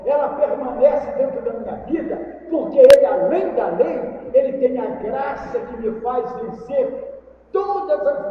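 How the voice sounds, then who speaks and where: Man, very high voice, Brazilian accent, emphatic sermon, repeated phrases, heard from far off. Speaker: preacher. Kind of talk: sermon to a congregation. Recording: echoey hall from far off, dull, faint noise.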